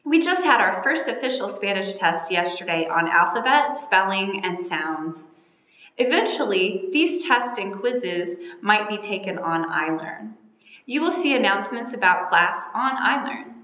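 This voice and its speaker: A woman from North Carolina, a teacher, giving a measured, orderly recorded welcome message to parents. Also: mid-range voice, sing-song, pushed and bright. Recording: echoey room with long reverb.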